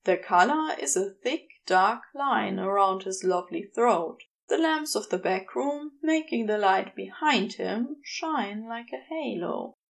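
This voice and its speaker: A woman narrating a scripted story as an audiobook reader, in a measured delivery. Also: medium pitch, animated, gravelly. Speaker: audiobook reader of fan fiction.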